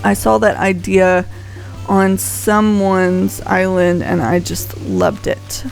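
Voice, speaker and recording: A woman's voice: on the low side, takes it slowly, creaky, faint music behind, poor audio. A woman giving casual, unscripted gameplay commentary as a video host, rambling conversationally and thinking aloud. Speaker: video host showing a game island.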